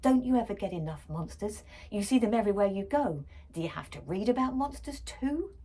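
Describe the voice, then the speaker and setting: A woman reading a storybook and voicing a stern, exasperated father with a gruff, scolding manner. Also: mid-range voice, animated, fairly quick, mellow. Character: stern, exasperated father scolding his monster-mad daughter.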